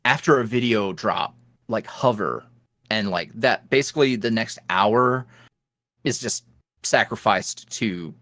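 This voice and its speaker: A man guest on a podcast, an ASMR roleplay creator, talking candidly in a rambling, filler-heavy way that swings from lively bursts to low mutters. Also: measured pace, dry recording, studio quality. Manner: swings between animated highs and low mutters. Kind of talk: casual interview chat.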